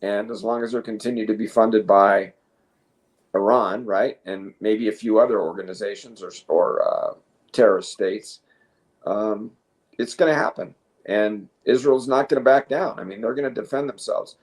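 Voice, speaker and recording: A man co-hosting a news commentary show, speaking casually and haltingly, opinionated, at times reading from notes. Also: on the low side, fairly even intonation, darkish tone. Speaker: co-host.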